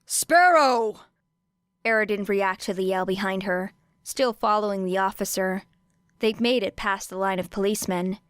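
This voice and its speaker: Woman reading a story aloud, really clear smooth voice, no room echo at all. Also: mid-range voice, little pitch movement, normal speed, studio quality. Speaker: audiobook narrator. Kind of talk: scripted fiction narration.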